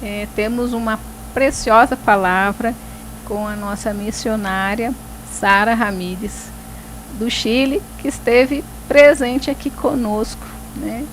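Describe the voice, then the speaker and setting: A woman's voice: fairly high pitch, steady tone, slight background noise, rough recording. A woman hosting a faith talk show, speaking reflectively and tagging phrases with "né". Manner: reflective, filling pauses with "né". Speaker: host.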